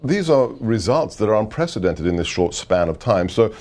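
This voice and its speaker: A middle-aged man with a very deep, low voice, talking as a guest on a political interview show. He presses his points hard and hammers home repeated phrases. His tone stays insistent throughout. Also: sing-song, moderate pace, darkish tone. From United Kingdom. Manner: emphatic, insistent, repeats for effect. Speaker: guest, former government adviser.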